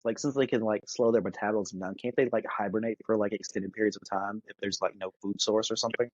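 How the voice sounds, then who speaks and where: A man speaks in a casual, tentative way with many fillers. His pitch is slightly low. The sound is muffled and dry. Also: fairly even intonation, fast, no room sound. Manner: hesitant, frequent "like". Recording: dull, muffled microphone sound.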